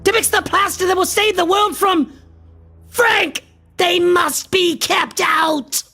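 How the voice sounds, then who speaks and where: A man's voice: extremely high pitch, steady tone, slow, sharp tone, faint music behind, heavily acted. A male actor playing a frantic, obsessed occultist in a horror radio play, declaiming in a theatrical, grandiose style. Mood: frantic urgency.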